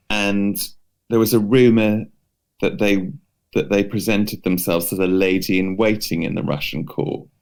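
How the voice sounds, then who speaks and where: Low-voiced man, slow and hesitant. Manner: hesitant, loosely phrased, hedging. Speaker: podcast co-host presenting a history feature. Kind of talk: casual unscripted storytelling to a co-host.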